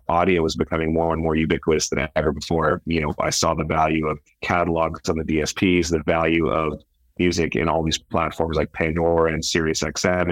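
A man's voice: extremely low pitch, no echo. A man speaking in an interview as a company founder and chief executive. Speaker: media company founder and CEO, interview guest. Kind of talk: interview talk.

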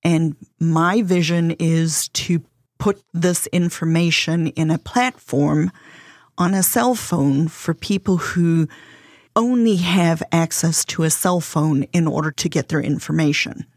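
A woman with a light South African accent explains her work in an earnest, measured way. Her voice sits fairly low for a woman. The recording is dry and close. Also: darkish tone, dry recording. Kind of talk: interview answers.

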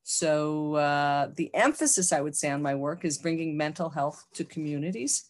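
An older American woman with a notably low voice speaks as a podcast guest. She is thoughtful and unhurried, pausing with fillers as she talks about her work and life. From United States. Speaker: guest, psychologist. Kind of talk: casual interview chat.

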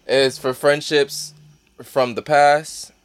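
A man's voice: on the high side, little pitch movement, slow pace, smooth, no echo. A man with a Connecticut accent, co-hosting a casual podcast chat, loose and laughing, swearing freely. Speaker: podcast co-host.

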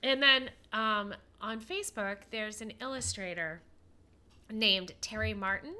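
A woman co-hosting a podcast in casual chat, stuffed up with a head cold so she sounds a little nasal, talking with plenty of fillers and pauses. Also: mid-range voice, pushed and bright, clear, resonant tone. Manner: chatty, with many fillers and pauses. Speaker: podcast co-host.

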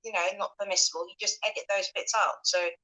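A woman's voice: mid-range voice, fairly even intonation, fast talker, bright, forceful tone, rough recording. A woman hosting a casual interview and reading out viewer comments, rambling a little with many filler words. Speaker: host interviewing a guest.

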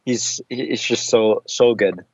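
A man's voice: fairly low pitch, fairly even intonation, takes it slowly, darkish tone, no room sound. Rambling man with slightly dull audio. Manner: rambling, many fillers and restarts, casual. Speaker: podcast guest. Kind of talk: casual podcast chat.

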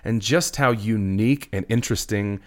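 A middle-aged man, a pastor, talking in a relaxed conversational way, earnest and a little preachy, who keeps asking "right?". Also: on the low side, moderate pace. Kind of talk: conversational podcast talk.

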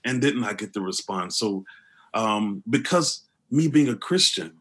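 Husky deep-voiced man, earnest. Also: lively intonation, unhurried, fairly bright tone. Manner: earnest, with many restarts and fillers. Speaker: guest. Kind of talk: conversational interview.

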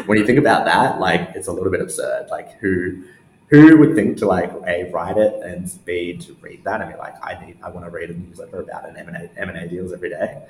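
Casual young male guest, deep voice, halting delivery, echoey room. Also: darkish tone. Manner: casual, halting, thinking aloud. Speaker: interview guest, newsletter founder. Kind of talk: casual interview answers. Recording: roomy echo, faint background noise.

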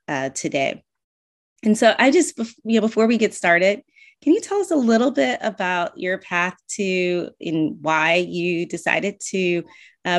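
A woman hosting a podcast interview, enthusiastic and hesitant, with frequent fillers. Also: fairly low pitch. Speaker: podcast host.

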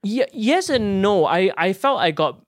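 A man's voice: high voice, big pitch swings, measured pace, no echo, studio quality. A man from Singapore, a stand-up comedian and podcast guest, talking bluntly and candidly with frequent restarts. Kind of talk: casual podcast chat.

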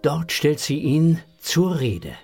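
A man's voice: on the high side, very animated, slow pace, creaky. A man narrating a children's audio drama as a scripted storyteller. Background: music.

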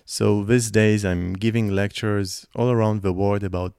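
Young man, gravelly low voice, earnest podcast monologue. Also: fairly even intonation, a little slow, a little mellow, no room sound, clean studio sound. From Israel. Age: 20s. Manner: earnest, reflective, personal storytelling. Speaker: podcast host.

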